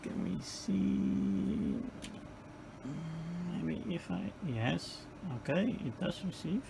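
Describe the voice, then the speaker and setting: A man giving a hands-on repair demonstration, muttering haltingly and tentatively through each step as he thinks aloud. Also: on the high side, huge pitch swings, creaky, noisy background. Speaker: host of a radio repair video. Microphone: handheld camera.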